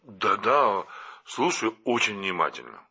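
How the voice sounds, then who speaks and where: A man's voice: on the low side, very animated, a little slow, bright, forceful tone, husky, muffled recording, full character voice. An actor playing a nervous, evasive male friend on the telephone in an audio drama, hesitant and slangy. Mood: evasive, uneasy.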